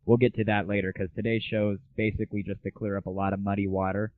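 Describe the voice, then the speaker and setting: An American man speaks as a casual comedy show host. His voice sits fairly low, in dry, phone-like lo-fi sound. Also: level tone, fast, dry recording. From United States. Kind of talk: casual comic monologue and game review.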